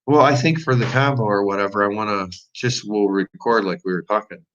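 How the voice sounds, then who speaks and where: A man's voice: lowish voice, brisk, a bit bright. A man co-hosting a livestream test, chatting casually and easygoing. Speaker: livestream co-host. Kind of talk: casual chat. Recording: lo-fi livestream audio.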